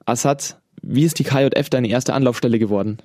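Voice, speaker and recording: A male interviewer asking plain, direct questions. His voice is dark and recorded dry and close. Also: medium pitch, fast talker, no echo.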